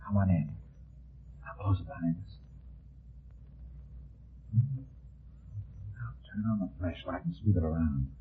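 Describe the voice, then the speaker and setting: Actor as a hard-boiled detective on tinny vintage radio. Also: male voice, low-pitched, fairly quick, slight background noise, close to an everyday voice. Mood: hushed, wary. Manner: clipped, commanding, hard-boiled delivery. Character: tough, commanding male private detective, hard-boiled and wry. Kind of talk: 1950s radio detective drama acting. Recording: muffled, tinny vintage 1950s radio recording.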